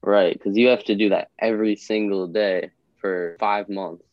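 A man's voice: fairly low pitch, steady tone, moderate pace, no echo, lo-fi sound. A man co-hosting a podcast, chatting casually in an interview style. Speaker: podcast co-host.

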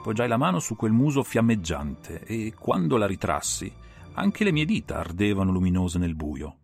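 A man's voice: fairly low pitch, very animated, moderate pace, gravelly. A man narrating an audiobook in a dramatic, theatrical and suspenseful style. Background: faint music and sound design.

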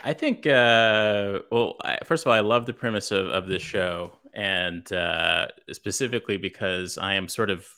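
A man from Los Angeles, a writer, answering interview questions in a monotone, hesitant way with many fillers. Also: lowish voice, moderate pace, hardly any pauses. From Los Angeles, USA. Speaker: writer, podcast guest.

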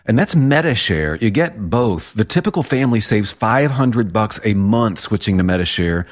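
A man's voice: lowish voice, expressive, normal speed. A man hosting a radio show, reading a sponsor ad in a chatty, conversational way. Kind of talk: casual host-read ad.

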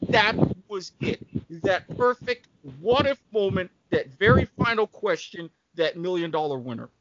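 A man speaking as a podcast panelist in a casual debate, with a deliberate, emphatic and halting delivery. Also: high-pitched, expressive, muffled recording, a crowd in the background.

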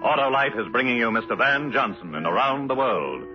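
Vintage radio announcer man over loud music. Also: medium pitch, expressive, brisk. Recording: dull old radio broadcast.

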